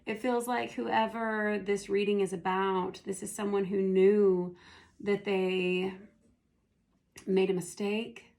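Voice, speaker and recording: Hoarse, gravelly woman reading tarot. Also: mid-range voice, little pitch movement, darkish tone. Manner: unhurried, thinking aloud as she reads. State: hoarse, losing her voice. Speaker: tarot reader. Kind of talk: casual spoken tarot reading.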